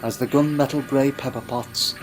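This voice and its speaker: A man narrates an adventure story in a mid-range voice. The delivery is scripted and dramatic, in a storybook manner, with music clearly playing behind him. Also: mid-range voice, steady tone, moderate pace, low-quality recording.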